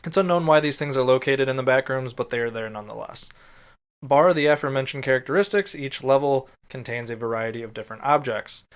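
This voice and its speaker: Guy with a clean clear voice, mid pitch, podcast host explaining stuff and chatting. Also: quick pace. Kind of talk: explainer read from notes mixed with casual banter.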